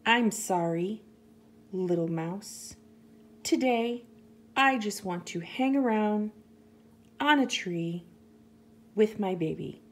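Woman playing a slow, apologetic mother sloth. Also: mid-range voice, lively intonation, voice pushed up. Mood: apologetic, calm. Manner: slow, unhurried.

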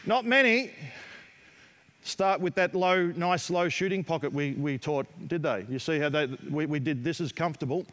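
Brisk Australian man coaching basketball. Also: a little high, a bit bright. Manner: brisk, direct, calls out drills to players. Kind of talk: coaching clinic instruction. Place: large indoor basketball gym. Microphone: headset mic.

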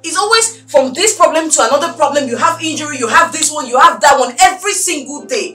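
A woman's voice: high-pitched, animated, quick pace, pushed and bright, echoey room, soft background music. An actress with a Nigerian accent playing a spoiled, short-tempered young madam in a screen drama, haughty and exasperated as she scolds. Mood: irritated, demanding. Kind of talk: melodramatic screen drama acting.

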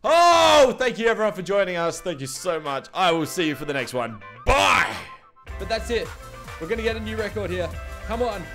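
A young man plays a horror game into his headset, his high voice swinging from tense mutters to panicked shouts. His pitch jumps all over the place. Music plays behind him throughout. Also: very animated, moderate pace. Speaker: gaming YouTuber. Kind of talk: horror game let's play. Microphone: over-ear headset.

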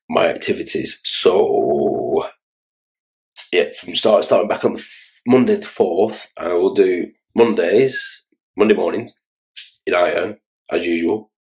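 A tired Irish man in his forties with a Dublin accent talks to his phone camera from a small room. His voice is very low and his delivery is slow. He rambles, stops and restarts, and pokes fun at himself along the way. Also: poor audio. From Ireland. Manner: rambling, halting, self-mocking. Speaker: children's entertainer and vlogger. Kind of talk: casual talk to camera. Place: small room indoors, in an office chair. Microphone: phone camera held close.

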